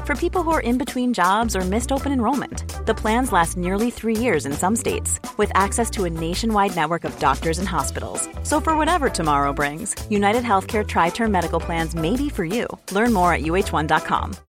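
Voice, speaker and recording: A woman's voice: mid-range voice, animated, fairly quick, hardly any pauses. Woman announcer reading an insurance ad. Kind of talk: scripted commercial read. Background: music.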